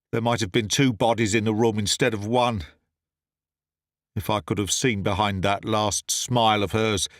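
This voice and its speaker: A man's voice: mid-range voice, dry recording. A man reading a classic story in a dry, close voice. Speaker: audiobook reader. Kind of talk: scripted narration of a classic story.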